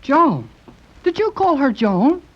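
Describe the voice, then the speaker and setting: Eager, animated teenage boy. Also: high-pitched, slow pace, full character voice. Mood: eager, surprised. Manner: breezy, exclamatory slang. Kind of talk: 1930s radio serial acting. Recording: dull 1930s radio recording.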